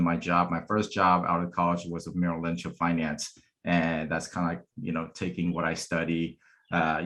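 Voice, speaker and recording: A man with a very low voice speaks as a panel guest. He is thoughtful and halting, often pausing and restarting his sentences. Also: fairly bright tone. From Taiwanese family. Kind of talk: casual panel talk.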